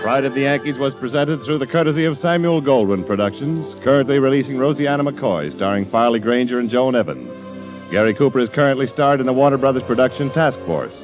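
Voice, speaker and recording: A man's voice: mid-range voice, expressive, a little fast, music in the background, rough recording. A man working as the announcer of a 1940s radio broadcast, giving a formal, polished sponsor read. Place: radio studio.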